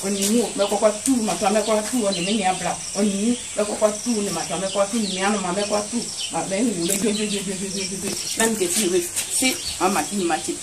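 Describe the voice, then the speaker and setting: A woman's voice: medium pitch, steady tone, fairly quick. A woman speaking spontaneously and repetitively, as a villager in a deliverance ritual. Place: open-sided yard outdoors. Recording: noisy outdoor phone video, off mic.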